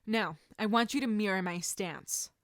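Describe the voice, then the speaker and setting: A woman voicing an encouraging adult female martial arts teacher in measured, instructive story dialogue. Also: mid-range voice, dry recording, studio quality, lightly acted. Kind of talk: audiobook-style character dialogue.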